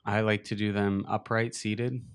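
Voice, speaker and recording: Smooth-voiced man guiding a meditation. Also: on the low side, little pitch movement. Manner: slow, gentle, unhurried guidance with long pauses. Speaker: meditation teacher. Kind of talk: guided meditation.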